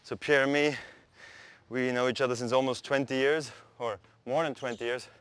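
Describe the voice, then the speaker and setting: A man in his thirties whose first language is Swiss German talks in an easygoing, chatty way. He explains his warm-up between exercises on an outdoor tennis court, worn mic picking him up as he moves. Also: medium pitch, moderate pace, smooth, dry recording. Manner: relaxed, chatty, laughs easily. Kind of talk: casual explanation during practice.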